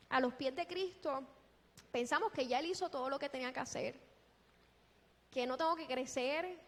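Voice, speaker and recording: A woman preaching a sermon in a deliberate, emphatic way, posing rhetorical questions. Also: high voice. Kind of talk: sermon with scripture exposition. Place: large echoing church hall. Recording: long reverberant hall sound.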